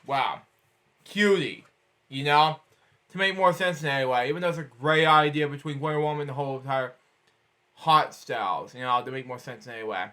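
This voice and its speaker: A man's voice: mid-range voice, a little fast, pushed and bright. A man reading a children's storybook aloud, stumbling over words and breaking off for rambling asides of his own. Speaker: storybook reader.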